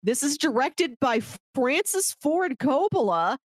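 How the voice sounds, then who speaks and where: Upbeat-pitched lady chatting on a movie podcast, really animated, dry close sound. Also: unhurried, no room sound. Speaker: podcast co-host. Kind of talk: casual movie discussion with some read-aloud synopsis.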